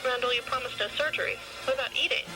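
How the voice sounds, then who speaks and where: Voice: mid-range voice, fast talker, pitched above the performer's own voice, close to an everyday voice, rough recording. A performer voicing a vain, suffering female fashion model from the future in an audio science fiction story, with music behind. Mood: doubtful.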